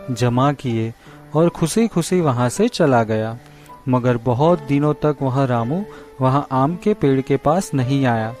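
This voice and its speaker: Slow-paced male children's storyteller. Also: mid-range voice, a little mellow. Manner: simple, measured storytelling. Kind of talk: scripted children's story narration.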